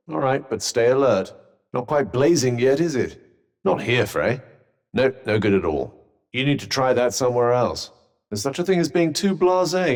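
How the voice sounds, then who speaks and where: An actor playing a wry, sardonic male companion in a game, speaking with crisp, polished diction and a dryly teasing manner. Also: a little high, a little mellow. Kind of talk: game voice lines.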